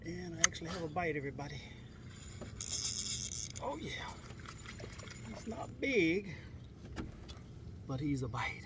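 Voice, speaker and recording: A man's voice: on the high side, unhurried, pauses often. A middle-aged man narrating casually to viewers while fishing outdoors by a lake, recorded on a phone camera set in front of him. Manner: relaxed, talks to viewers while working the reel. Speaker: fishing vlogger. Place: outdoors on a lake, seated by the water. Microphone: phone camera set low in front. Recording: outdoor noise and ambience.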